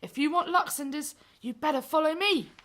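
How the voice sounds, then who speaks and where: A woman's voice: high-pitched, moderate pace, fairly bright tone. A woman playing an eager, friendly young servant in a pantomime, chirpy and confiding. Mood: excited, hopeful. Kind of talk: stage pantomime acting.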